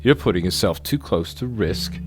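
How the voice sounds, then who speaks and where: A man playing a wary, gruff retired crook who is suspicious of an old partner, in an audio drama. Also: low voice, lively intonation, normal speed, over music. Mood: resigned. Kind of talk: amateur audio drama acting.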